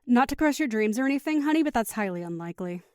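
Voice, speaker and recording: A woman acting as an upbeat, caring mom in an audio drama. Her voice is high and closely recorded with a dry sound, and she talks in a chatty, reassuring way. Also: expressive, quick pace, darkish tone, clean tone, no echo, close to an everyday voice. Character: caring, upbeat middle-aged mother of teenagers.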